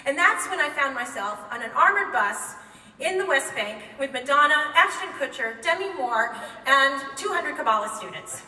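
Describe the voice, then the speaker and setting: A woman telling a comic true story live on stage, wry and quick to laugh, recorded in a hall by a microphone set back from her. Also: high-pitched, lively intonation, pushed and bright. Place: theater stage before an audience. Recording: echoey hall, mic from far off.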